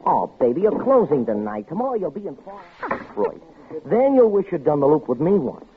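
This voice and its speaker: A man's voice: on the high side, big pitch swings, moderate pace. An actor playing a pushy, slangy backstage man hitting on a showgirl in a 1950s radio drama. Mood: wheedling. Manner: slangy, wheedling come-ons. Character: pushy, sleazy backstage wolf. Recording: tinny old radio broadcast with hiss.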